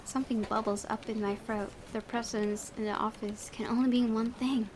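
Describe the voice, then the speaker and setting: A woman's voice: fairly high pitch, fairly even intonation, moderate pace. A woman hosting a gaming video, reading visual novel narration aloud and occasionally stumbling and correcting herself.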